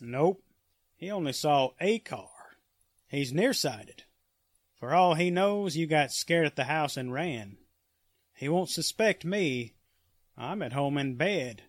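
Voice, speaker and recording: A menacing rural killer played by a man talks in a fairly high voice, recorded close and dry. He is folksy, terse and coldly threatening, a classic 1940s radio drama villain. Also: lively intonation, slow, pauses often, no room sound.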